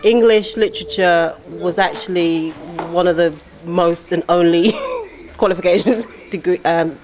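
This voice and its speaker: A woman's voice: lowish voice, unhurried, darkish tone. A middle-aged woman from Guyana, an author and poet, talking in a casual interview with many fillers and restarts.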